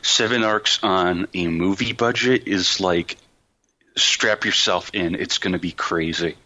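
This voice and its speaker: A man's voice: low-pitched, fairly even intonation, a little slow, sharp tone, dry recording, dull audio. A man talking casually and emphatically as a podcast host, explaining things with colloquial enthusiasm. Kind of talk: casual anime discussion.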